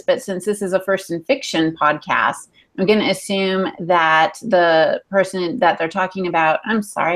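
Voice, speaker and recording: Female podcast panelist, mid-range voice, editor offering practical, conversational advice. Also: mid-range voice.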